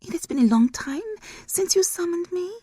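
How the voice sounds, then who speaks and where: A woman's voice: very high voice, animated, normal speed, dark tone, no room sound, voice pushed up. A woman voicing a scheming, mocking demon mother in an audiobook.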